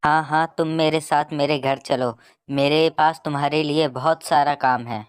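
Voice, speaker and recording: A woman's voice: low voice, fairly even intonation, moderate pace, smooth, close to an everyday voice. A woman voicing a rich, scheming male village landlord in a cartoon story, fretful and bossy. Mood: eager, scheming. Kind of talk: cartoon story character acting.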